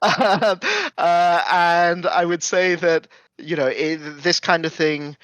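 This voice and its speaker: A man's voice: sits high, fairly even intonation, takes it slowly, a bit bright, no echo, lo-fi sound. A man being interviewed as an esports coach, reminiscing conversationally and often circling back to correct himself.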